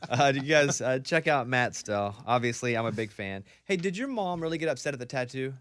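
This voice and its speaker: A man from Arkansas hosting a radio show, asking quick questions and teasing his guest in a casual studio chat. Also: mid-range voice, expressive, fairly bright tone, smooth, dry recording. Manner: joking, teasing, fast-talking. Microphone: studio mic.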